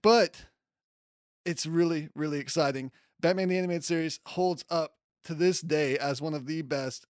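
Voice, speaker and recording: A man's voice: sits high, dry recording, clean studio sound. A man hosting a podcast in a casual, conversational way, with enthusiasm and self-deprecating asides. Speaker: podcast host. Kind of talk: casual comics news commentary.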